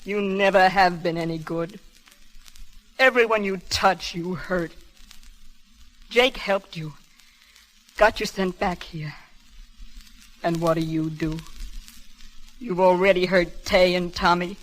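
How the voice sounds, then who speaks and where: An actress playing a pilot's numb, bitter wife in a 1930s radio drama, measured and drained, on an old crackly recording. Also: female voice, lowish voice.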